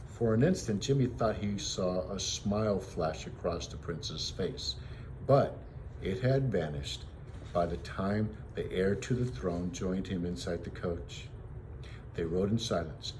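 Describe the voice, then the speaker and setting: A man reading a story aloud as a teacher, in expressive storybook narration. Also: low-pitched, slight background noise, rough recording.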